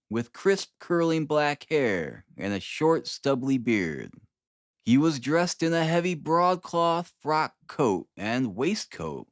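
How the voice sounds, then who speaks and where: Expressive male audiobook narrator, very dry sound. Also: medium pitch, no echo. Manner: measured, deliberate. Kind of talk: dramatic scripted literary narration.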